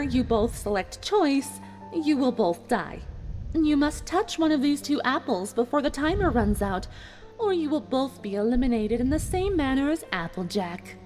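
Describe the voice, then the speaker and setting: Smug villain mare played by a woman, high-pitched and expressive, taunting with mock politeness, for an audio fan drama. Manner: measured, mock-apologetic, taunting. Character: smug, cruel female pony villain running a deadly test.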